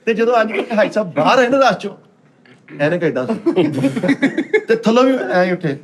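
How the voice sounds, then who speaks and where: A man's voice: high-pitched, lively intonation, brisk, background noise. A man with a Pakistani Punjabi accent telling funny stories in casual chat as a panellist on a comedy show. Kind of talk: animated comic storytelling in casual chat.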